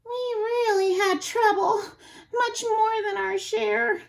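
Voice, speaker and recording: A tiny, friendly mayor calls up from a speck of dust in a thin, piping voice pitched very high, as a woman reads him aloud. His voice sounds small and faraway, made for a storybook. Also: fairly even intonation, takes it slowly, creaky, exaggerated voice. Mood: pleading.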